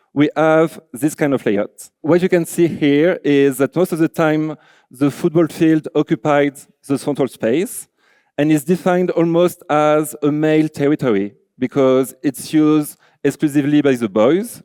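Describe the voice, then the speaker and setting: A man's voice: on the high side, warm tone, clear, resonant tone, no room sound. A man from France with a French accent, giving an earnest conference talk as a designer, filling his sentences with "like" and "you know". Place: large auditorium.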